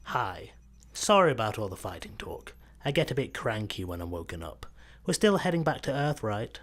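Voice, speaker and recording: A man's voice: on the low side, sing-song, normal speed, fairly bright tone. An actor with a Scottish accent playing a cranky but easygoing stowaway space postman in a sci-fi comedy radio play. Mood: groggy, sheepish.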